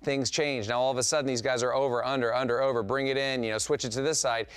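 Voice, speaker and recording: A man's voice: mid-range voice, steady tone, fast talker, a bit bright, smooth. A middle-aged man co-hosting a fishing show from a TV studio, casually explaining fishing technique in detail over the footage. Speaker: fishing show co-host, analyst. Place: TV studio set.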